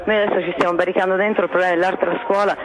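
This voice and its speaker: A woman's voice: lowish voice, level tone, very fast. A woman phoning in an urgent live eyewitness report to a radio station, alarmed and under pressure. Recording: phone call with crowd noise behind.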